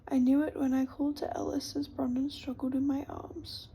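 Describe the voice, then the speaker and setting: A woman's voice: high voice, flat delivery, normal speed, warm tone, slight background noise. A woman whispering a grieving, exhausted young woman. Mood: sorrowful. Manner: whispered, sorrowful.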